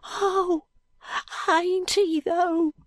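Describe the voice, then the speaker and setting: A woman voicing a frightened, ragged London street boy in an audiobook, with a Cockney accent and hushed, halting, uneducated speech. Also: very high voice, fairly even intonation, no room sound, studio quality, voice pushed up, exaggerated voice. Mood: fearful.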